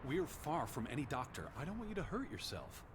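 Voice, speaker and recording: An actor playing a worried 1920s American naturalist in a video game, speaking in an earnest, brooding, cautious way. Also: male voice, medium pitch, wildly swooping pitch, fairly quick, noisy background. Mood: concerned. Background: music.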